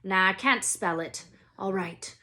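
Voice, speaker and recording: A woman voicing a huge, gruff but good-hearted wizard man in a storybook, emotional and anxious, with folksy, halting speech and dropped word endings. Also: medium pitch, pushed and bright. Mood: grave, reluctant.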